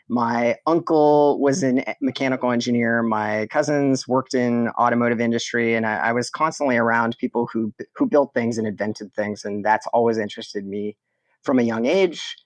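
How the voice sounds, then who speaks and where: A man's voice: mid-range voice, steady tone, normal speed, no echo. A man from southwestern Ontario, explaining things methodically and conversationally as an interview guest. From southwestern Ontario, Canada. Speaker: podcast guest, product manager.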